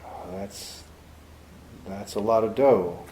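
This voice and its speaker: A tough, wisecracking private eye in an old radio play, drawling his lines slowly and dryly. His low voice has a mellow, dark tone. The old broadcast sounds roomy, with a faint hiss. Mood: stalling. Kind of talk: 1940s radio acting.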